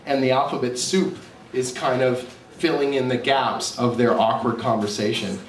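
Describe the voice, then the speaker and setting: Male artist speaking live to slides, animated and candid, captured from afar with faint background noise. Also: mid-range voice, normal speed. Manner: candid, self-deprecating, animated storytelling. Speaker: author and comic artist presenting his work. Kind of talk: informal live talk with slides. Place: indoor cafe event space. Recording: roomy, distant mic, faint background noise.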